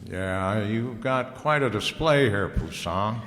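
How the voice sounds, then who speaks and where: An actor playing a wry, laconic private detective in an old-time radio noir drama, slangy and dry. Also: male voice, on the low side, expressive, slow pace, a bit bright. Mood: casual, probing. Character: wry, hardboiled male private detective narrator. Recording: reverberant hall, music underneath.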